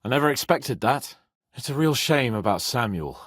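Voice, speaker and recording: A man's voice: medium pitch, animated, normal speed, a bit bright, breathy edge, dry recording, clean studio sound. An actor playing a haughty, hot-tempered young medieval nobleman in a video game, with a petulant, exclamatory manner. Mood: subdued, pensive. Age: young adult.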